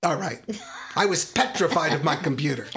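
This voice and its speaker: A woman's voice: deep voice, lively intonation, a little fast, vocal fry, fairly bright tone, slight background noise. A woman chatting casually on a talk show, with a sarcastic, irreverent, joking manner.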